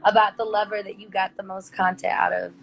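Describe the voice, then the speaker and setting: A woman hosting a casual livestream chat, slangy and excitable, quick to laugh. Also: mid-range voice, gravelly, rough recording. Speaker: host.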